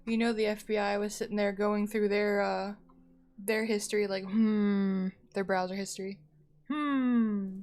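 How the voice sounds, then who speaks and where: A woman live streamer chatting casually to viewers in an expressive, rambling, playful way, sounding a little sleepy. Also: mid-range voice, measured pace. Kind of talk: casual chatty livestream sign-off. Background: music.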